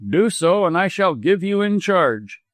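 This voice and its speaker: A stern gentleman doctor from a storybook, played by a male narrator. He is curt, protective and commanding, with a fairly high male voice. The sound is dry and close. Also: sing-song, measured pace, dry recording. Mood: angry, threatening.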